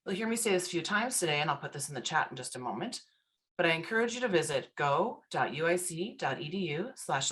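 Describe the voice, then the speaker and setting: A woman with a low, deep voice hosts an online alumni event. She reads a formal, upbeat welcome over a video call and introduces the speaker. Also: a bit bright. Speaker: host, alumni association director.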